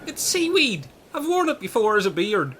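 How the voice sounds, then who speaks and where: Bright, high-voiced excitable castaway played by a man. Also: normal speed, a little hiss or hum, full character voice. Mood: earnest rambling. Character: excitable, easily hurt bearded male island castaway. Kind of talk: comedic amateur radio play.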